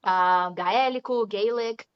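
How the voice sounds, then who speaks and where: A woman's voice: mid-range voice, takes it slowly, clear, resonant tone. A Brazilian woman, an English teacher, chatting casually in an interview with a Brazilian accent, laughing often and slipping English words into her speech. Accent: Brazilian Portuguese. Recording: dull lo-fi sound.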